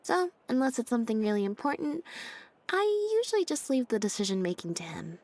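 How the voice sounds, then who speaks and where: A woman voicing a laid-back, deadpan young stage magician's assistant in a video game, speaking in an unhurried, matter-of-fact way. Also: fairly high pitch. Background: game background music.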